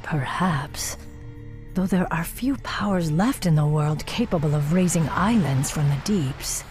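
Deep-voiced stern elf warden. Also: female voice, animated, measured pace, a little mellow, faint music behind. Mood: grave, pondering. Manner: grave, commanding. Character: stern, relentless female night elf warden commander. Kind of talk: dramatic fantasy game acting.

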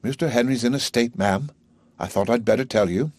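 A man's voice: mid-range voice, a little fast, darkish tone, no room sound, pitched above the performer's own voice, close to an everyday voice. A man voicing a deferential female parlour maid. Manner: polite, deferential.

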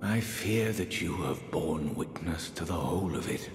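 Fantasy game casting: eerie, genteel high priest, older, unhurried and breathy, grandiloquent, set in echoing reverb with faint rumble. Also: male voice. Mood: sorrowful, bitter. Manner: theatrical, archaic, drawn-out phrasing. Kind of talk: dramatic fantasy game character dialogue. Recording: echoey hall reverb, faint rumbling noise.

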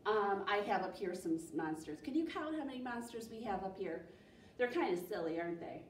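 An American woman in her thirties leading a children's storytime, speaking in an animated, encouraging way to young listeners. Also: medium pitch, a little fast. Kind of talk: children's storytime reading and singalong. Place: small library program room, indoors. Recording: echoey room, distant mic, faint noise.

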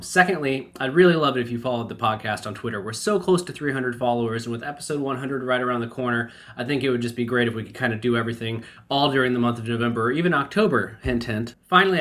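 Fast-talking congested male podcast host. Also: medium pitch, pushed and bright. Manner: quick, chatty and enthusiastic. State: congested from a cold. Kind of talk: podcast intro and interview.

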